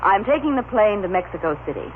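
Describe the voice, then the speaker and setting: A woman playing a cold, calculating, sharp-tongued society wife in an old-time radio soap opera. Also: mid-range voice, big pitch swings, quick pace, close to an everyday voice. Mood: haughty, smug. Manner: haughty, sharp-tongued, imperious. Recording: scratchy, narrow-band old radio recording.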